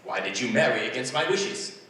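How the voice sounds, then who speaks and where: A man's voice: mid-range voice, very animated, a little fast, bright, forceful tone. An actor playing a grumpy, miserly older businessman with a scornful, curt, blustering manner, in a 1940s radio drama style. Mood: irritated, scornful. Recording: roomy echoing stage, distant mic, faint noise.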